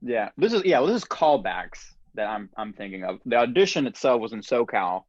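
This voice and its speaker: A man hosting a casual podcast conversation, telling relaxed stories with frequent laughs. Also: medium pitch, brisk, dry recording, muffled recording. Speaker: podcast host.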